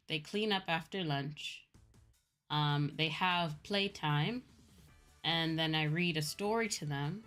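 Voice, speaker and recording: A woman with a Midwestern American accent telling stories casually as a livestream host, in an animated, chatty manner. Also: low-pitched. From Midwestern United States. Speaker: streamer and VTuber host. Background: background music.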